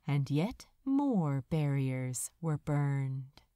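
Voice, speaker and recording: A woman reading a romantic story aloud as a narrator, with a dramatic, tender and measured delivery. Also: low voice, big pitch swings, dark tone, no room sound, clean studio sound. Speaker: fan fiction audiobook narrator. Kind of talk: expressive scripted story narration.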